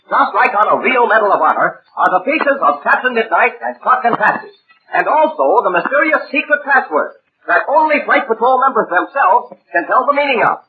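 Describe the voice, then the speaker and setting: An American man announcing a 1930s children's radio show with excited, urgent salesmanship, on an old radio recording. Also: very high voice. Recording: tinny, narrow, noisy 1930s radio recording.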